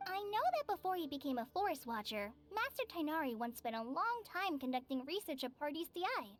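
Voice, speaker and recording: A gentle young woman forest trainee in a game, speaking high and soft with a polite, shy manner. She sounds tired, with a sigh in her voice. Game music murmurs faintly behind her. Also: very animated, brisk. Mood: earnest. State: tired and sighing.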